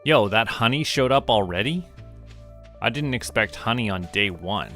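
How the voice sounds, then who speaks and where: Bright-voiced man streaming a game. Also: fairly low pitch, lively intonation, moderate pace. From Canada. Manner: musing to himself in short remarks. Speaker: VTuber streamer. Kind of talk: casual livestream gaming commentary. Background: background music.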